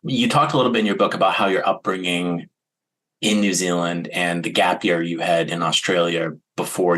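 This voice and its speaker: Male host, low voice, curious, prompts the guest with recaps. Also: a bit bright. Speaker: interviewer host. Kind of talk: conversational interview.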